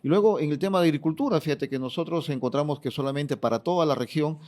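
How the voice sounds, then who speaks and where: A man's voice: a little high, fast, darkish tone. A middle-aged Peruvian man answering interview questions as a politician, explaining at length with frequent fillers. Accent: Peruvian Spanish. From Peru. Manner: explanatory, fond of "fíjate" and "eh" fillers. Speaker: politician, former regional governor.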